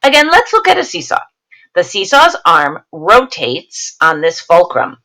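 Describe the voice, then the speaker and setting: A woman teacher reading a science lesson aloud, adding explanatory asides and stressing key terms in a deliberate, instructive way. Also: medium pitch, huge pitch swings, pushed and bright, poor audio.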